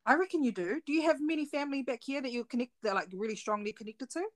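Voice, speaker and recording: A woman's voice: high voice, quick pace. A woman with a New Zealand accent hosting a podcast in a casual, slangy, informal chat. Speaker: podcast host.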